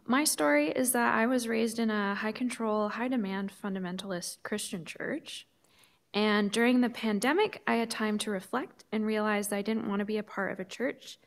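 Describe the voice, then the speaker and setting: A woman's voice: mid-range voice, creaky. A young woman, a student, giving an earnest personal testimony at a church lectern. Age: young adult. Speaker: congregation member, student. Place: church sanctuary.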